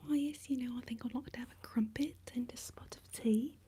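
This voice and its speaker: A woman plays a prim, theatrical British lady in a comic livestream sketch. The accent is exaggerated and mock-posh, delivered in a very breathy whisper that sits higher, with a playful air. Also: a little fast, warm tone.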